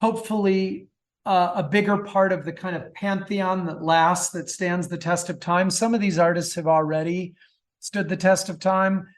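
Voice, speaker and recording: A man's voice: high-pitched, fairly even intonation, moderate pace. A middle-aged man chatting casually as an interview guest, enthusiastic and prone to digressions. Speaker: guest author.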